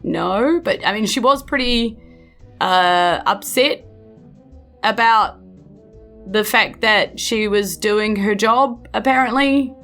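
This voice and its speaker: A woman's voice: medium pitch, takes it slowly, a bit bright. Woman doing easygoing apothecary guy, kiwi accent, bit hesitant, tabletop game, faint music behind. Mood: defensive, hesitant. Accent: New Zealand. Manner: casual, hesitant explaining. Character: male apothecary shopkeeper, easygoing, trusting, apologetic.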